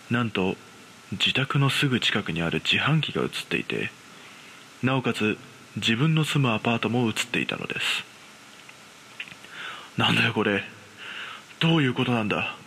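Horror radio drama lead: man playing an ordinary, frightened young guy, measured suspenseful narration, forceful tone, faint hiss. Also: on the low side, exaggerated voice, low-quality recording. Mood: panicked, tense. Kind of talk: dramatised ghost story narration.

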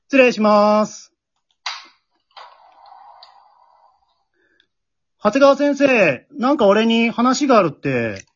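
A baffled teenage schoolboy played by an amateur performer in a comic radio play, blunt and incredulous. The pitch jumps around in lively reactions. The recording is a little dull and lo-fi. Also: natural voice. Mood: polite, uneasy. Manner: incredulous, quick deadpan retorts. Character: bewildered, blunt male high school student, straight man. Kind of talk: amateur comedic radio drama acting.

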